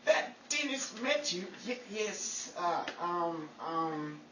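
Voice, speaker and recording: A woman's voice: lowish voice, sing-song, slow pace, fairly bright tone, heavily acted. Woman as exasperated 1940s radio wisecracker, sarcastic, swinging intonation, distant mic. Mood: muttering, sarcastic. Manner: muttered asides, sarcastic quips. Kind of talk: 1940s radio comedy acting. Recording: echoey room, off-mic, faint crowd noise.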